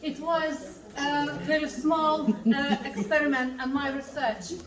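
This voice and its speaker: A woman from Lithuania, giving an earnest personal speech with pauses. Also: high voice, fairly even intonation, crowd noise. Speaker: speaker. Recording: echoey hall from far off.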